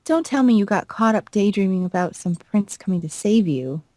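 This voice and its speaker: A woman's voice: medium pitch, lively intonation, fairly quick, a little mellow, no room sound, close to an everyday voice. Woman playing a haughty, scornful elder stepsister, close and dry. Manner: condescending, clipped, disdainful. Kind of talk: acted reading of visual novel dialogue.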